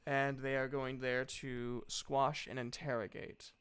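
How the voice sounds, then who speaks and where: A man plays a brisk, orderly woman who leads a guild in a tabletop fantasy game. The delivery is formal and authoritative, with a smooth, clear tone and measured, slow pacing. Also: mid-range voice, little pitch movement, a bit bright, natural voice. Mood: businesslike.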